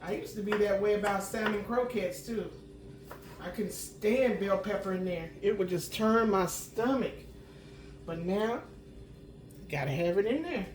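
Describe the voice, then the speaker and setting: A woman, slightly hoarse from a cold, chatting homily as she cooks and clearing her throat now and then. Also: medium pitch, a little slow, a little hiss or hum. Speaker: home cooking channel host. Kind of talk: casual cooking chat. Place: home kitchen. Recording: roomy kitchen sound from a distant mic.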